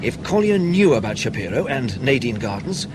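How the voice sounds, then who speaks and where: Outdoor ambience and birdsong sit clearly behind an actor as a male British intelligence officer in a radio drama. He has a medium-pitched voice and questions in a measured, insistent way. His delivery is naturalistic, wry and drily understated. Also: sing-song, background noise, lightly acted. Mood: probing, insistent.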